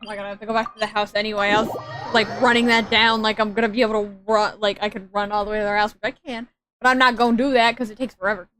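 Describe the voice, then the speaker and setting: A woman's voice: mid-range voice, flat delivery, very fast, a bit bright. A woman giving casual, rambling gaming commentary in an informal, chatty way. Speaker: gaming video creator. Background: game music.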